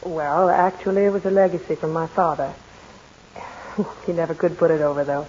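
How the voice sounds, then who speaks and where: A woman's voice: low-pitched, steady tone, fairly quick, a little mellow, muffled recording, slight background noise, close to an everyday voice. An actress playing a wry young woman newspaper editor who teases and probes, in 1940s radio drama style. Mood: earnest. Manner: wry, probing, lightly mocking.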